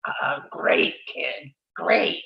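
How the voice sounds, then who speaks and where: A man's voice: a little high, animated, drawn-out words, creaky, dull audio, heavily acted. A man playing a worried boxing cornerman, urgent and clipped, in a script table read.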